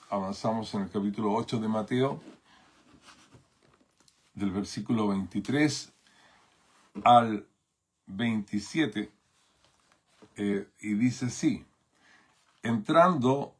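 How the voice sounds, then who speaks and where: A man's voice: mid-range voice, slightly rough. A man from Chile with a Chilean Spanish accent, preaching a sermon as pastor in a thoughtful way, with many fillers and tag questions.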